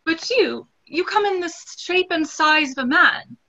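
A woman's voice: very high voice, lively intonation, measured pace, bright, forceful tone, full character voice. A young woman playing a dreamy, startled girl full of questioning wonder in a theatrical play reading. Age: young adult. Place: plain room at home, on a video call. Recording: muffled video-call audio.

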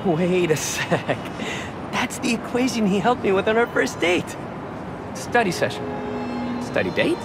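A man's voice: sits high, expressive, measured pace, pauses often, heavy background noise. A man playing an affectionate, bemused young student in a video game, fondly wondering aloud. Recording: loud music over the voice. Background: loud game music.

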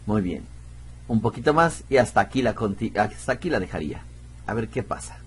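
A man's voice: on the low side, expressive, fairly quick, a little hiss or hum. A man giving casual let's play commentary, musing aloud in a chatty, self-deprecating way. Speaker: gameplay streamer.

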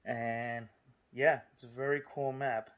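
Guy talking casually to his viewers, lots of "you know" and "look", normal middle pitch. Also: level tone, slow, background noise. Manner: chatty and informal, lots of fillers and asides. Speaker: vlogger. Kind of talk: casual vlog narration.

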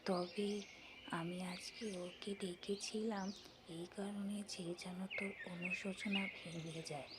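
Motherly cartoon villager played by a woman: lowish pitch, unhurried and solemn, reassuring. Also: steady tone. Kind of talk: cartoon fairy-tale voice acting. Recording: very noisy, birdsong and clicks behind.

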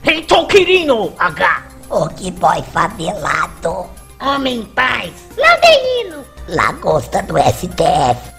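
Casting: woman announcer, very animated, reading supporter names over soft music. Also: mid-range voice, slow pace, creaky. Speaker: credits announcer.

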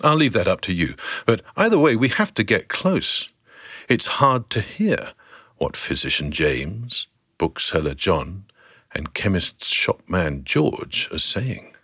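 Husky low-voiced male storyteller. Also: very animated, slow, pushed and bright, no room sound, clean studio sound. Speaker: podcast narrator. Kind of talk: scripted storytelling narration.

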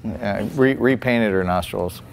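A man's voice: on the low side, a little slow, gravelly, slight background noise. A man in his forties giving a casual, folksy face painting demonstration with many restarts, indoors in a small room.

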